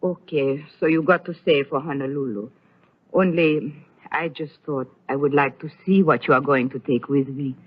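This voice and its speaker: Tinny old radio recording: doting, bossy housekeeper, husky, sing-song, fretful broken English. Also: female voice, sits low, fairly even intonation, moderate pace. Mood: fussy, coaxing. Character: fussy, motherly foreign housekeeper Hannah, sentimental and bossy. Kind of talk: old radio soap opera acting.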